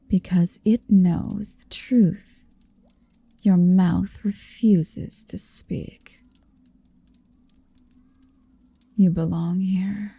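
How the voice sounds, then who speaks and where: A woman voicing a possessive, seductive motherly dragon in an intimate ASMR roleplay, breathy and whispery, speaking slowly and deliberately close to the microphone. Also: lowish voice, dark tone, dry recording, heavily acted. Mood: possessive, teasing. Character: possessive, seductive motherly female dragon hoarding her captive. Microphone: close microphone, dry.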